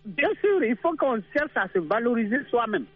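A man with a very high voice phones in to a radio show and lays out his view with confidence, though he trips over a word now and then. His voice comes through thin and tinny, like a phone line, with a dry sound. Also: big pitch swings, moderate pace, breathy edge, no room sound. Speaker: caller. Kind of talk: radio call-in opinion.

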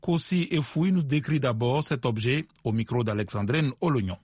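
A man's voice: mid-range voice, moderate pace, a little mellow, breathy edge, no echo, clean studio sound. A man presenting a radio news segment, reading a scripted introduction steadily.

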